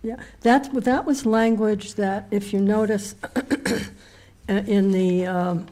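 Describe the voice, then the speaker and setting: Woman chairing a town board, slightly raspy. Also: medium pitch, steady tone, slow pace, dark tone. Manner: hesitant, repeats words while thinking. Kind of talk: municipal meeting discussion. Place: town meeting room.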